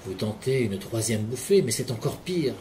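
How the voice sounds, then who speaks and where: A man leading a guided relaxation session in a calm, measured voice, speaking directly to the listener. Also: mid-range voice, background noise. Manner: calm, measured second-person guiding. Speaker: hypnotherapist guide. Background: soft background music.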